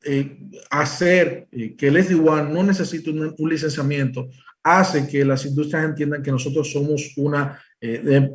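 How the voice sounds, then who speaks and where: A young man with a Dominican Spanish accent giving a technical product presentation, explaining step by step with many fillers and restarts. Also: fairly high pitch. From Dominican Republic. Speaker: presales engineer presenting.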